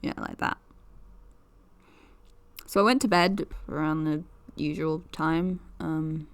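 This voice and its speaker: A woman's voice: deep voice, steady tone, slow pace. An actress playing a young woman podcaster who talks in a confiding, nervous, self-deprecating way. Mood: anxious. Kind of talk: audio drama monologue.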